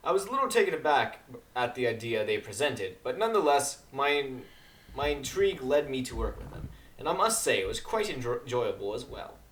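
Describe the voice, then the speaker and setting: A man's voice: mid-range voice, very animated, brisk, fairly bright tone, a little hiss or hum. A man voicing a courteous male cartoon pony, a refined musician who speaks politely and formally. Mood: pleased.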